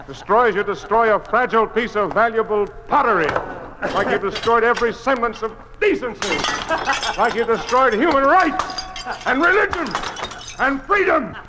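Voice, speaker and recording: An actor playing a principled, defiant museum official in an old-time radio drama, with an earnest, biting, theatrical delivery. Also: male voice, very high voice, moderate pace, noisy background. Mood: anguished fury. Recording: old radio recording, long hall reverb.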